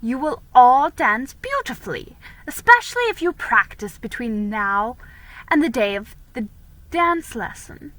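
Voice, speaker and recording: A woman voicing a prim colonial teacher of manners in a storybook, giving precise, measured instruction. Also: a little high, sing-song, a bit bright, no echo, rough recording. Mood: firm.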